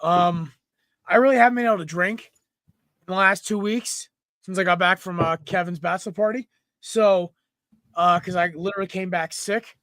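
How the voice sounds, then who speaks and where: A young man hosting a casual sports podcast from home, a little raspy from smoke, rambling and clearing his throat often. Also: high voice, moderate pace, fairly bright tone, dry recording. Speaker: podcast host. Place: room at home. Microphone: computer mic, no headset.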